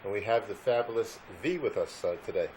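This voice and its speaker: Host, male, lowish voice, halting with fillers, faint noise behind. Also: expressive, a little mellow, low-quality recording. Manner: halting, many fillers and "all right". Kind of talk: business interview.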